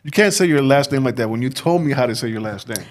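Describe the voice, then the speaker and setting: A male podcast host with a mid-range voice, relaxed and teasing in conversation. He guides the chat with a light, joking manner in a studio setting. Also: mid-range voice, fast. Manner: playful, teasing, laughs easily. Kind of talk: casual interview chat.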